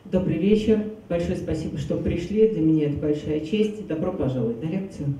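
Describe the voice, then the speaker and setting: A woman interpreting a lecture in a large hall, speaking in a smooth, formal way. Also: sits low, a little fast, dark tone. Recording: echoey hall from far off.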